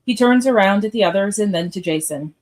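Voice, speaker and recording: A woman's voice: mid-range voice, animated, brisk, darkish tone. A woman reading stage directions as the narrator of a live radio theatre script read.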